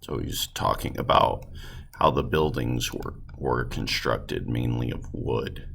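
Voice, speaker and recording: A man with a gravelly voice teaching a class, giving informal instructions and explanations as he reads aloud. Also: very deep voice, takes it slowly, fairly bright tone.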